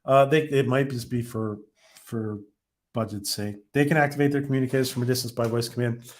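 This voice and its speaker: A man's voice: mid-range voice, fairly even intonation, fairly quick, slight background noise. A man hosting a casual live stream, rambling with many fillers and restarts while his voice starts to go. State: losing his voice. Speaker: live-stream host reviewing a TV episode. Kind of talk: casual live-stream chat reading viewer comments.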